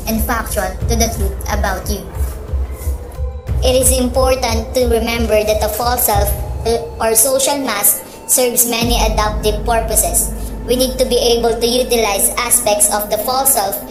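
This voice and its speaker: A woman reads out a scripted narration. Her voice sits on the high side, echoing in the room with clear music underneath. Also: fairly even intonation, normal speed. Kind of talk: scripted narration, reading aloud.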